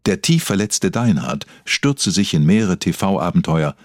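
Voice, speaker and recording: A man narrating a comedy mock documentary from a script in an earnest, deadpan manner. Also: low-pitched, unhurried, no room sound, studio quality. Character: earnest male documentary narrator telling absurd stories.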